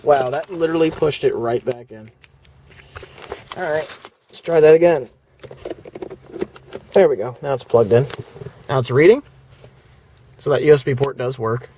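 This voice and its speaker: A man talking casually as he shows off the stereo in his car, chatty and relaxed, with the odd filler and restart. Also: medium pitch, normal speed, pauses often, a little hiss or hum. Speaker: car audio reviewer. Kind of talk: casual hands-on product demo. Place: inside a car. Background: faint music from the car stereo.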